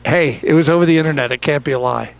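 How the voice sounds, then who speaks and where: Guy doing bowling play-by-play, a bit high, gravelly, faint hiss behind him. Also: fast. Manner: chatty, teasing, laughs often. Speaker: bowling commentator. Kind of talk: live sports commentary, joking banter. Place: bowling center.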